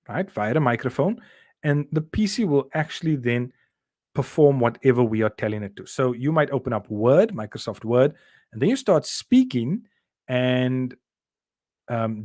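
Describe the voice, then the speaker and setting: A man's voice: medium pitch, darkish tone, no echo, clean studio sound. A middle-aged South African man teaching a revision lesson, explaining step by step and checking in with "okay?" and "right?". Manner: uses fillers and repeats words while explaining.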